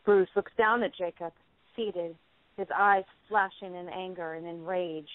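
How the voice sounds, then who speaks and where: A woman's voice: fairly low pitch, fairly even intonation, unhurried, dry recording. A woman narrating the stage directions of a play in a scripted, dramatic reading. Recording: tinny phone-like band.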